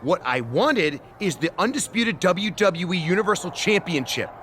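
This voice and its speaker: Smug showman wrestling boss, lively swings. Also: high voice, moderate pace, slight background noise. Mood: smug, condescending. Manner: pompous, sarcastic, showman delivery. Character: smug, theatrical male wrestling general manager. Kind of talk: scripted video game cutscene acting.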